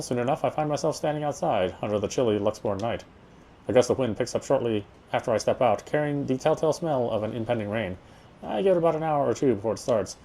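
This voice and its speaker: Male game player narrating prose aloud, occasional stumbles, clear music bed behind. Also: mid-range voice, mellow. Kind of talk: first-person story narration read aloud.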